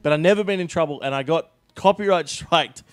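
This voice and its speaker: A young streamer chats on a couch and tells stories with energy, laughing along the way. His voice sits a touch high. Faint background music hums underneath. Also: brisk. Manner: animated storyteller, laughs often. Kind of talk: casual group chat. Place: living room couch with others.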